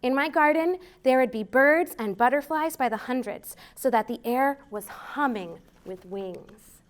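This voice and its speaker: High-pitched American woman, unhurried storytime reader. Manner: expressive, deliberate delivery for young children. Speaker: library storytime host. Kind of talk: children's storytime read-aloud.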